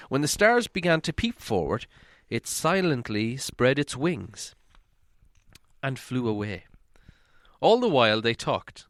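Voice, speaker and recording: A man's voice: mid-range voice, fairly bright tone, dry recording. Dry, close-sounding male storybook narrator. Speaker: audiobook narrator.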